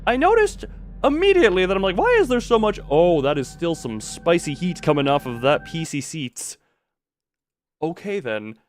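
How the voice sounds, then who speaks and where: A man's voice: high-pitched, very animated, normal speed, faint music behind. A man chatting casually and goofily as a game streamer, rambling and often interrupting himself. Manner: goofy, rambling, self-interrupting. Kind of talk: casual livestream chat while setting up a game.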